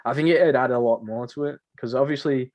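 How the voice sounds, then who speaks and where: A man's voice: medium pitch, brisk, a little mellow. A man with a mid-range voice chats casually as a podcast co-host. He speaks off the cuff, drifting along in slangy, jokey phrases. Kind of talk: unscripted casual podcast chat.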